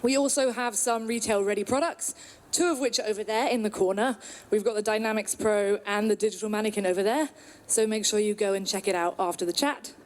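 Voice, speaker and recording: A British woman in her thirties, from the UK, giving an upbeat presentation at a trade conference with some filler pauses. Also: mid-range voice. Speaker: conference speaker. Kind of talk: presentation talk.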